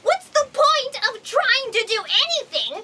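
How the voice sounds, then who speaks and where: A woman's voice: very high voice, big pitch swings, a little slow, sharp tone, slight background noise, full character voice. A woman playing an anxious, eager, chattering alien office fact checker in a comedic sci-fi audio drama. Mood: panicked, existential. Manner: chattering, breathless, overwrought questioning.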